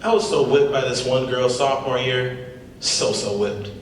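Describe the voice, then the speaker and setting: A man's voice: medium pitch, normal speed, close to an everyday voice. Man playing a cocky stand-up comic, echoey hall. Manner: cocky, storytelling patter. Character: cocky, crude young male stand-up comedian. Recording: very echoey hall, far mic, faint noise.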